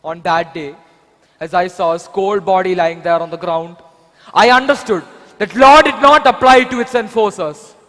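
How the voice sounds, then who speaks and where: A man plays a young vigilante bent on justice, with an Indian accent. His voice is strikingly high and rings through a reverberant hall. He delivers each line with deliberate, theatrical weight, as in a stage drama. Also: fairly bright tone. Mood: bitter, grieving. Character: vengeful young male vigilante seeking justice for his murdered father. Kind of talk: amateur stage drama dialogue. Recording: echoey hall, long reverb.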